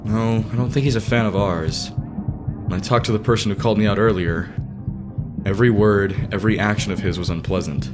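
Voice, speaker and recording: Low-voiced, serious young idol played by a man. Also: steady tone, fairly quick, lightly acted. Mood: stern, indignant. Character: serious, proud, hot-headed teenage boy idol, easily slighted. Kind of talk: anime fan dub acting. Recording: loud music under the voice.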